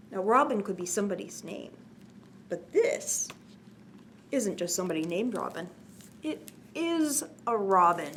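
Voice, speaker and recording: A woman hosting a children's story time, reading a picture book aloud with expressive, sing-song emphasis and pausing to explain and ask questions. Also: mid-range voice, slight background noise.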